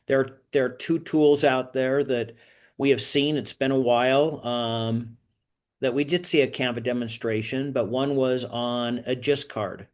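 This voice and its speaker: A man's voice: mid-range voice, fairly even intonation, unhurried. An older man leading an online group session informally, rambling a little and putting rhetorical questions to the group. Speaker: host of an online career coaching group meeting. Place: online video call.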